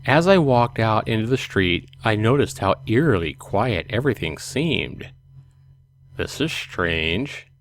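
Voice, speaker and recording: A man's voice: on the low side, big pitch swings, a little slow, slight background noise. A man hosting a let's play, reading a visual novel aloud expressively with casual commentary.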